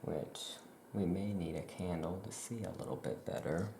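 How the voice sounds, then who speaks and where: Soft ASMR shopkeeper roleplay, gentle, slow, gravelly fry, calm witch showing wares, some background noise. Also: low voice. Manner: gentle, unhurried, hushed delivery. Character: calm Salem witch shopkeeper welcoming customers. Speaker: ASMR host playing a shopkeeper. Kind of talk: soft-spoken ASMR roleplay, product showcase.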